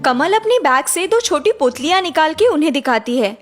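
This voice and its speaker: A woman narrates a scripted moral tale as storyteller. Her voice is high in pitch, and music plays behind the narration. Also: sing-song, moderate pace.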